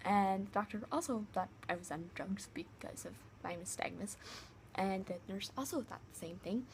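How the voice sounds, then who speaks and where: A young woman vlogger with a high-pitched voice, casually retelling a story to camera, full of "like" fillers. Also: normal speed, a little hiss or hum. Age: young adult. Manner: chatty, many "like" fillers, animated retelling.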